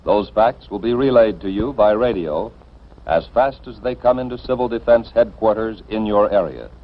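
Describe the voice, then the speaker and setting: An American man reading scripted 1950s instructional film narration in an authoritative, deliberate manner, addressing the listener directly and emphatically. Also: lowish voice. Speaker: narrator of civil defense educational film. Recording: dull band-limited vintage film soundtrack.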